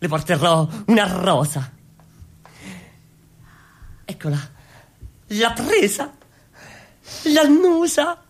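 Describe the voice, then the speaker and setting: A woman's voice: medium pitch, sing-song, takes it slowly, pauses often, husky, heavily acted. A woman playing a naive, lovestruck male rebel in exaggerated, clownish comic theatre. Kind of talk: theatrical comic stage acting.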